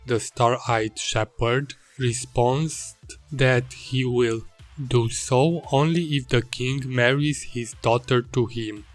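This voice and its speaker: A man with a Hungarian accent, whose first language is Hungarian, narrating an art video in a careful, slightly formal way. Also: medium pitch. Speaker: illustrator and channel host. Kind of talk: calm narrated art voice-over.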